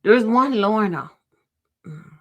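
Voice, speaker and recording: A middle-aged woman with a Jamaican accent, hosting her own podcast and speaking in a personal, reflective monologue. She talks emotionally, pauses often, restarts her sentences and lets some of them trail off. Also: mid-range voice, animated, highs cut off. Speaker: podcast host.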